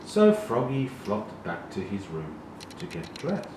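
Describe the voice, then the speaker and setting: A man reading a children's picture book aloud in a deliberate, animated way, with emphasized sound words. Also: fairly low pitch. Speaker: storybook reader. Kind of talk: expressive children's read-along narration. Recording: very echoey, distant, noisy room.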